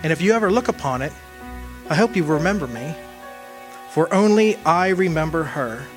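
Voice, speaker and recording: A man playing a wistful old soul in a radio drama, remembering his lost love in measured, poetic, solemn narration. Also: fairly high pitch, expressive, lightly acted. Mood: sorrowful, haunted. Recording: music behind the voice.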